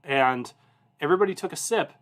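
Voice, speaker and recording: A man's voice: fairly high pitch, lively intonation, a little fast, a bit bright. A man in his thirties hosting a whiskey review, chatting informally with viewers, rambling and often interrupting himself as he goes. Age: 30s. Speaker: whiskey review show host. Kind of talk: casual chat and anecdotes to a livestream audience.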